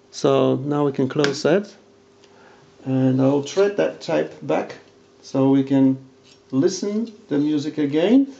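A man's voice: medium pitch, gravelly, warm tone, breathy edge. A man giving an informal hands-on demonstration, hesitant and searching for words. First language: not English. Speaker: video presenter demonstrating audio gear. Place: indoors at home, by a tape deck. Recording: dull lo-fi home recording.

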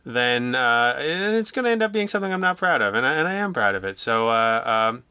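Guy chatting in an interview, bright sharp voice, really dry sound, stop-start and kinda self-deprecating. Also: mid-range voice, sing-song, clean tone, no echo. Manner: halting, self-deprecating, acts out imagined remarks. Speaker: guest, audio drama writer and producer. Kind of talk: casual interview chat.

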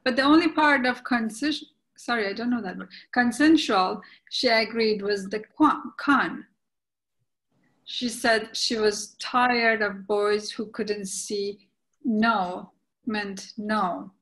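Woman playing jolly outspoken aging mother, verse play narrator, very slow, halting, stumbles over words. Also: medium pitch, steady tone, a bit bright, rough recording. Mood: storytelling. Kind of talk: scripted verse play reading. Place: indoors on a video call. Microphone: video call.

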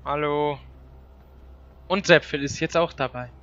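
Bright-voiced man doing gaming commentary. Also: a little high, measured pace, lo-fi sound. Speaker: let's play host.

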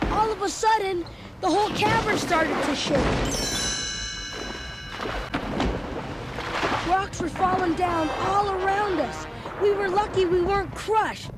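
A performer playing an excitable young boy who tells his adventure as animated, dramatic read-along storybook narration. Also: very high-pitched. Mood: excited, urgent. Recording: loud music under the voice. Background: loud dramatic music.

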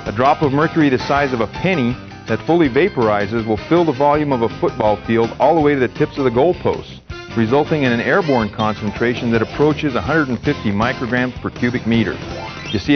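An earnest American safety trainer played by a man explains things in a measured, instructive way. His mid-pitched voice comes through slightly dull, with music clearly playing behind it, as in an industrial training film. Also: close to an everyday voice. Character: earnest, knowledgeable male mine safety trainer. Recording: dull audio under background music.

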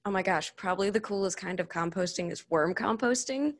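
A woman's voice: mid-range voice, brisk. A woman giving a casual tech meetup presentation to a room, speaking informally with enthusiastic asides. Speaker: conference speaker, cloud security engineer. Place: meetup room before a seated audience.

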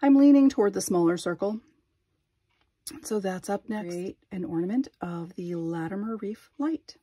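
A woman's voice: medium pitch, expressive, moderate pace, mellow, breathy edge, no echo, clean studio sound. A woman narrating her glass craft work at a home workbench, thinking aloud and unsure of each step. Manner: thinking aloud, self-doubting. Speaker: glass artist. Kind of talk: casual craft vlog narration.

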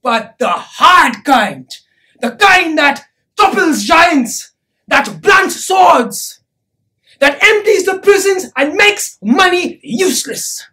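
Woman playing fervent preacher, high voice, unhurried pace, theatrical oratory, emphatic, deliberate pauses. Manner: slow, deliberate, emphatic, with dramatic pauses and repetition. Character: impassioned preacher urging a crowd to love. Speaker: actor performing a monologue. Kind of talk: theatrical dramatic monologue, sermon-like oratory.

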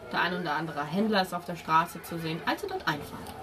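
A woman's voice: on the low side, very animated, quick pace. A woman improvising narration as game master of a tabletop role-playing game, often searching for words. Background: music.

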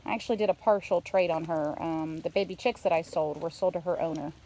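A woman's voice: on the low side, quick pace, dark tone. A middle-aged woman giving a casual, rambling, unscripted vlog narration from behind a handheld phone outdoors on a farm. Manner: chatty, rambling while showing things around. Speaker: homesteader filming updates. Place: outdoors around a farmyard, coops and pens. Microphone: handheld phone, speaker behind camera. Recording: noisy outdoor phone recording with animal sounds. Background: farm ambience and animal sounds.

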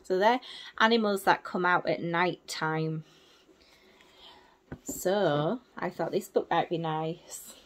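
A woman in her thirties reading a picture book to small children in simple, expressive phrases, adding little explanations as a teacher would. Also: fairly low pitch, takes it slowly, pauses often. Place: small indoor room at home.

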